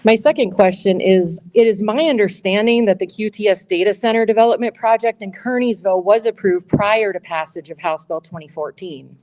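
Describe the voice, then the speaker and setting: A woman, a state senate candidate, gives a prepared speech at a town hall in a school auditorium, loud and methodical as she works through her questions. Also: medium pitch, a little fast, few pauses.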